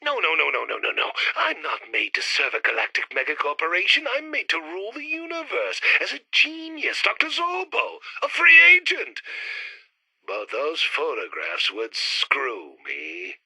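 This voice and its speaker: An actor playing a pompous, theatrical alien mad-scientist villain with a raspy voice in a retro sci-fi radio serial. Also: male voice, a little high, huge pitch swings, normal speed, bright, forceful tone, full character voice, poor audio. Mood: panicked defiance. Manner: theatrical, grandiose, indignant.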